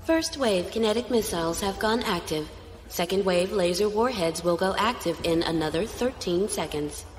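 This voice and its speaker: A woman's voice: mid-range voice, normal speed, close to an everyday voice. A woman playing a dutiful, unemotional ship's computer aide in a science fiction audio drama, formal and clipped. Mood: impassive. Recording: long reverb, faint music.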